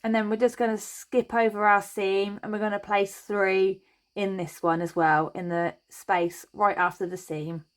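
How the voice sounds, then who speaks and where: A woman's voice: medium pitch, level tone, a little slow. Chatty woman teaching crochet. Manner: chatty and reassuring, thinks aloud while working. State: slightly croaky voice. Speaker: crochet tutorial host. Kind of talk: step-by-step craft tutorial.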